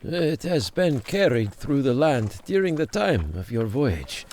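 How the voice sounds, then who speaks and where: A frail, devout man back from death's door in an audio drama. The voice is very gravelly and slow, halting and solemn, with faint noise behind it. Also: medium pitch, big pitch swings, a little mellow. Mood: reverent, grateful. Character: weak, grateful, pious Hebrew man revived from near death.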